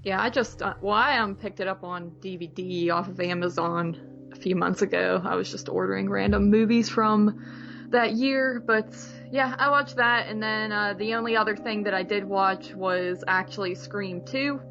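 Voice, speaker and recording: Female podcast co-host, casual conversational movie chat, middling pitch, music underneath, slightly muffled recording. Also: steady tone, normal speed, gravelly, a bit bright.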